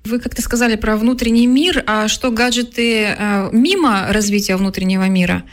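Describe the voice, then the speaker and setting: A woman's voice: on the high side, fairly even intonation, normal speed. A woman hosting a talk show, asking her guest probing questions. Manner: probing questions, brief affirmations. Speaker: host. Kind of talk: interviewing a guest.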